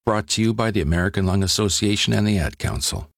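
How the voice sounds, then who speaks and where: A man narrating a scripted public service ad in a steady storytelling way. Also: fairly low pitch, fast talker, gravelly, very noisy.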